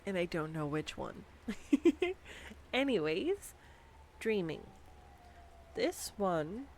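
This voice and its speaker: A woman's voice: lowish voice, a little hiss or hum. A woman voicing a giggly, rambling nerdy girlfriend. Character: excitable young adult girlfriend, nerdy and rambling. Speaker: voice actor. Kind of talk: scripted audio roleplay. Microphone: USB condenser microphone.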